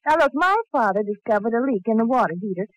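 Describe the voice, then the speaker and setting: A woman acts a coaxing young girlfriend in an old radio sitcom. Her voice is high and swoops a lot, captured dry on a vintage broadcast recording. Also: animated, quick pace, no echo, close to an everyday voice, rough recording. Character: affectionate but scolding, coaxing young sweetheart. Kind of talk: 1940s radio comedy acting.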